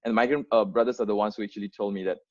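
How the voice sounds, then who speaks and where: A young Bangladeshi-born man with a lowish voice speaks earnestly, often restarting his sentences. His English carries a first-language influence. The sound is muffled and lo-fi, like video call audio. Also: steady tone, racing, a little mellow. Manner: earnest, frequent fillers and restarts. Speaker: founder giving an onboarding talk. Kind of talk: unscripted presentation to volunteers.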